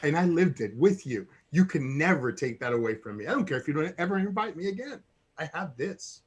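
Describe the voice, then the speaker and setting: A man from Cuba, a guest on a casual show, telling a story with excited bursts. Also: a little fast, breathy edge. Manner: excitable storyteller, bursts into loud high cries. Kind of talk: casual chat.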